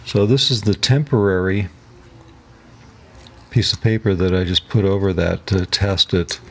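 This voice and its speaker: A man narrating a hands-on tutorial as host, talking haltingly as he thinks aloud while he works. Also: sits low, slightly rough. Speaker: host of a DIY electronics repair channel.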